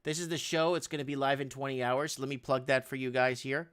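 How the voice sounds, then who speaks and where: A man's voice: medium pitch, little pitch movement, fairly quick, fairly bright tone, smooth. A man hosting a casual live-stream talk show, reading headlines aloud and chatting with a guest, whose first language is Portuguese.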